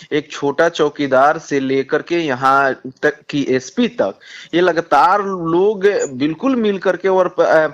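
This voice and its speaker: The sound is a slightly muffled, lo-fi phone call. A man with a Bihari accent and a somewhat high voice makes a political argument. He is insistent, often stumbling and repeating his phrases. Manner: halting, repetitive, insistent. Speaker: communist party politician. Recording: dull lo-fi phone call recording.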